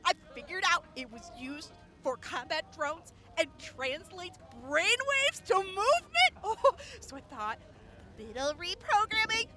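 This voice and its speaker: Very high, swooping, peppy inventor. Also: female voice, measured pace, music in the background, heavily acted. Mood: excited. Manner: bubbly, eager, expressive. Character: peppy, excitable, teasing female gadget inventor. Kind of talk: audio drama acting.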